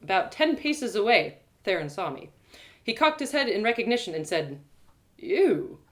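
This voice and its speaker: Theatrical woman storyteller, very lively intonation. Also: mid-range voice, moderate pace. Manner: theatrical, expressive. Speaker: storyteller and host. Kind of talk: dramatic first-person fantasy narration.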